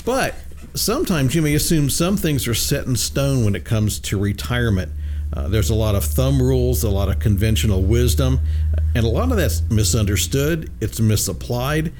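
Guy hosting money talk radio in a studio, relaxed, lots of uhs, faint hum behind. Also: mid-range voice, normal speed. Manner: easygoing, frequent fillers and restarts. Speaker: radio show host, financial advisor. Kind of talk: casual radio chat.

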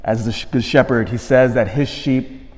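A man, a priest, giving a homily with a storytelling manner, dropping to a hush and rising to animated emphasis. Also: mid-range voice, moderate pace. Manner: storytelling, swings from hushed to animated. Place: church. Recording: long reverb of a church.

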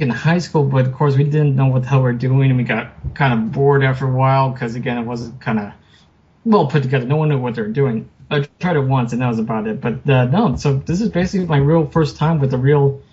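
A man's voice: medium pitch, darkish tone. A man as a podcast guest, talking in a rambling, hesitant way with many fillers and easy laughs. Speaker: guest, writer. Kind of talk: casual interview. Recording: dull lo-fi remote audio.

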